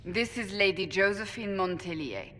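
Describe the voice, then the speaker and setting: A woman playing a stern, resolute fantasy warrior leader, speaking in a measured, formal way. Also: medium pitch, expressive, fairly bright tone, lightly acted. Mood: composed, formal. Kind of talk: dramatic game cutscene acting. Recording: long hall reverb, faint music behind.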